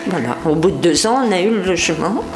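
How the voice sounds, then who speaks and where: Woman, relaxed, contented, very low voice, echoey room, music behind. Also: quick pace. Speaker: resident. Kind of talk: documentary interview.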